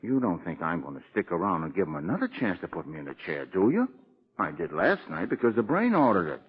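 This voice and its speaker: Boastful gangster killer, lowish pitch, tough slangy delivery, vintage radio crime serial, thin narrow-band sound. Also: male voice, sing-song, fast talker, husky, heavily acted. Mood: sly, bragging. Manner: cocky tough-guy slang. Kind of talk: 1940s radio crime drama acting. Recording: muffled tinny old radio recording.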